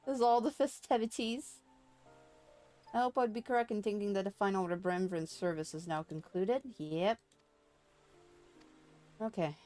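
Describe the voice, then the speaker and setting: A woman's voice: medium pitch, measured pace, pauses often, warm tone. A woman live streamer chatting casually and playfully while she plays a game. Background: game music.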